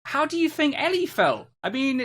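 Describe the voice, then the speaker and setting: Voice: sits high, huge pitch swings, unhurried, pushed and bright. A podcast co-host talking casually and emphatically about games, opinionated and dotted with conversational asides. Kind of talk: casual video game discussion.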